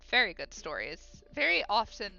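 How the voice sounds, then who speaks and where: A woman's voice: mid-range voice, huge pitch swings, moderate pace, gravelly, pushed and bright, highs cut off, soft background music. A woman with a Midwest American accent chats casually on a livestream while she draws, reading out viewer comments with fillers and giggles. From Ohio, USA. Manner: chatty and upbeat, with frequent fillers and giggles. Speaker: illustrator live-streaming her drawing.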